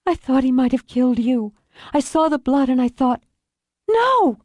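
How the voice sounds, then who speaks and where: A woman's voice: high voice, sing-song, normal speed, no echo, studio quality, voice pushed up. A woman voicing a frightened, tender young daughter in an audiobook thriller, pleading with breathless urgency. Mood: shaken. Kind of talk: audiobook thriller character acting.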